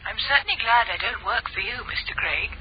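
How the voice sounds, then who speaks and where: An actress playing a tart, teasing young wife in a radio drama. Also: female voice, fairly high pitch, animated, quick pace, crowd noise, close to an everyday voice. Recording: muffled, noisy telephone-style radio recording.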